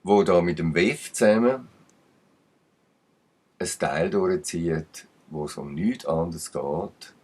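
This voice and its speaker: Very deep husky Swiss German man. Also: slow pace, pauses often, darkish tone. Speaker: channel commentator. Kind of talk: rambling spoken commentary.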